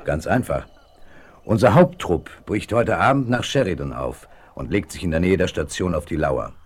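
Deep-voiced, commanding male outlaw boss. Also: expressive. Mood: calculating. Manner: commanding, deliberate. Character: cool, calculating male leader of a Western outlaw gang. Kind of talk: 1970s German radio play acting.

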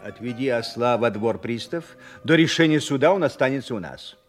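Man acting a highly animated, wry judge. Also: soft background music, close to an everyday voice. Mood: firm, persuading. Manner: deliberate, theatrical, wry. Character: wry, world-weary city judge telling his own story. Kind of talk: dramatic first-person narration in an audio play.

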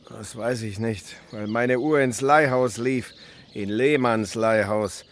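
A man's voice: medium pitch, slow, close to an everyday voice. An actor playing a gossipy, grumbling, easily astonished working man in a radio drama. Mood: chatty, grumbling. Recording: faint outdoor birdsong noise.

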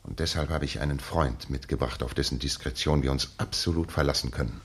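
A composed gentleman detective with a low, slightly husky voice. He sets out his reasoning courteously and precisely, in the measured manner of 1960s German radio drama. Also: heavily acted. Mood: courteous, probing.

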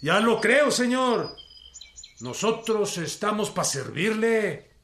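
Mexican peasant revolutionary, high-pitched, unhurried, defiant, rustic speech, radio drama, noisy echoey crowd scene. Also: male voice, big pitch swings, fairly bright tone, full character voice. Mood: sly, deferential. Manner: plain-spoken, rural colloquial speech. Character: male peasant revolutionary leader, bold and defiant.

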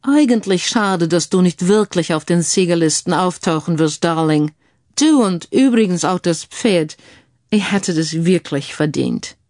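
A woman voicing a suave, wry British gentleman posing as an earl in an audio crime story, sprinkling English phrases into speech. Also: on the low side, animated, measured pace, no echo, clean studio sound. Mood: regretful, teasing.

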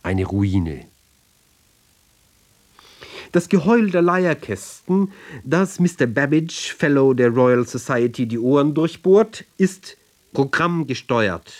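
A man giving a sober, measured literary reading for radio, phrase by phrase with deliberate pauses. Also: medium pitch, lively intonation, a little mellow. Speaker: reader of literary ballads.

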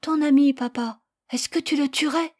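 A woman's voice: high voice, steady tone, moderate pace, voice pushed up, lightly acted. A woman voicing a tender-hearted, stubborn little boy who asks earnest, pleading questions.

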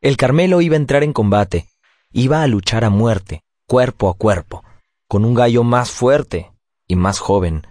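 An actor playing a nostalgic grown son, narrating his childhood in a measured, poetic radio drama style. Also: mid-range voice, expressive, a little mellow, no room sound, clean studio sound.

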